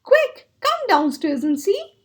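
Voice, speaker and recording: A woman voicing an eager, bouncy male hare who exclaims excitedly in a children's storybook. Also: very high voice, takes it slowly, voice pushed up, exaggerated voice. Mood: urgent excitement.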